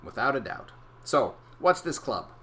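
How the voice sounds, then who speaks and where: Storybook father, read by a man: mild, gently inquisitive, mid-pitched, slight noise behind. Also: big pitch swings, unhurried. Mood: amused, curious.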